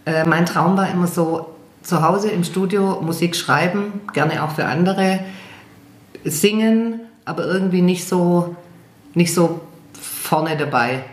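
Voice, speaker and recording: A woman's voice: fairly low pitch, measured pace. A German woman singer, chatting in an interview, hesitant and self-deprecating with many fillers. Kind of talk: casual interview chat. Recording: echoey room.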